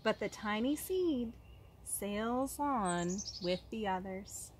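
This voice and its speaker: A woman reading a children's picture book aloud like a kindergarten teacher, expressively, with dramatic pauses and questions for young listeners. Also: medium pitch, lively intonation, a little hiss or hum.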